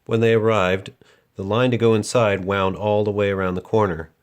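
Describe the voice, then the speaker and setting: A man's voice: lowish voice, fairly even intonation, normal speed, slight background noise. A man reading a children's picture book aloud as a storyteller and host.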